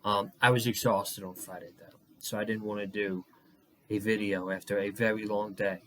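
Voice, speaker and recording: A young man reviewing a wrestling show on his channel, losing his voice and worn out, talking excitedly with a lot of swearing and rambling. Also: on the low side, moderate pace, a little hiss or hum. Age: young adult. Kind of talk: casual solo review vlog.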